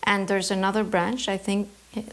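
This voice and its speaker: Canadian woman talking about her craft, thoughtful, pauses a lot to find words. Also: mid-range voice, level tone. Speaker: embroidery artist interviewee. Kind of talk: reflective interview answers.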